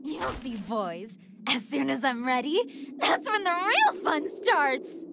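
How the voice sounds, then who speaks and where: A woman's voice: high voice, very animated, takes it slowly, vocal fry, very noisy, heavily acted. A woman voicing a sweet-toothed, playfully cruel female android villain in an anime fighting game, with theatrical, taunting delivery. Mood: teasing menace.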